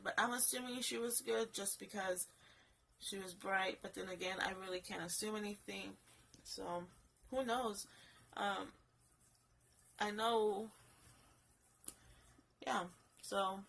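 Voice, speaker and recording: Young woman with a bright voice, rambling. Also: medium pitch, gravelly, a little hiss or hum. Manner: rambling, full of fillers and restarts, casual swearing. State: getting sick, slightly raspy. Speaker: vlogger. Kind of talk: casual storytime vlog. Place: home, alone. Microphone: phone camera.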